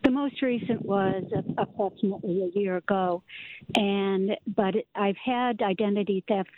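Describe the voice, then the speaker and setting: A woman's voice: medium pitch, moderate pace. Hesitant woman caller on a tinny phone line. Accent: Chicago. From Chicago. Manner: polite and hesitant, with fillers and little laughs. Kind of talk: phone call to a radio show.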